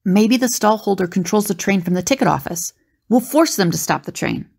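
A woman's voice: medium pitch, expressive, brisk, creaky, darkish tone, slightly rough. A woman playing a confident, resourceful female adventurer in an audio drama. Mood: eager.

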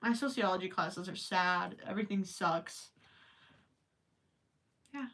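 A woman's voice: lowish voice, unhurried, fairly bright tone, recorded from a distance. Chatty young woman with a Minnesota accent. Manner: chatty, rambling, joking asides with frequent laughs. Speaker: crochet livestream host. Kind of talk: casual livestream chat. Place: small indoor craft room with yarn shelves.

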